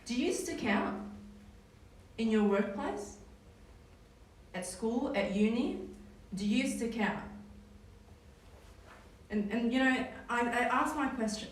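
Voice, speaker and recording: A woman's voice: on the high side, a little hiss or hum. A woman with a New Zealand accent leading a casual Bible study, talking in a conversational way with frequent "right?" tags. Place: church hall. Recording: echoey hall, mic far off.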